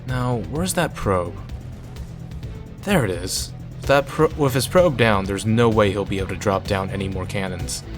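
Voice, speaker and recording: A man's voice: on the low side, normal speed, over music. A man reading a visual novel aloud in a narrating style, with casual asides.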